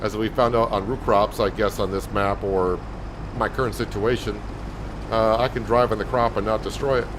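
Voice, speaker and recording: A man with a fairly low voice talks in a calm, soothing way during a livestream, musing over his farm chores. He wanders from thought to thought without hurry. Farm machinery and engine sounds from the game stay clearly audible behind him. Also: normal speed, background noise. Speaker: game streamer. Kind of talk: casual gameplay commentary.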